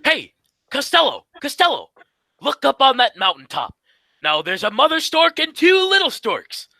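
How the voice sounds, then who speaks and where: Very high-pitched male voice, creaky, bossy exasperated comedy straight man acted by a man, brisk, radio sketch. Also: huge pitch swings, bright, forceful tone, dry recording, heavily acted. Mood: eager.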